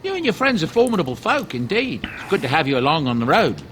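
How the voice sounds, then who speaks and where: An actor playing a friendly merchant in a fantasy video game, flattering and formal in an old-fashioned way. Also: male voice, on the high side, quick pace, a little hiss or hum. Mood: admiring. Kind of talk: video game character acting.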